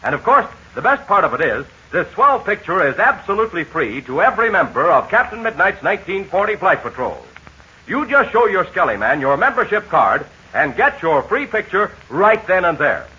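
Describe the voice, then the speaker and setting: A man announcing a 1940s children's radio serial, pitching eagerly to young listeners in a muffled old transcription. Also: big pitch swings, normal speed, sharp tone, husky.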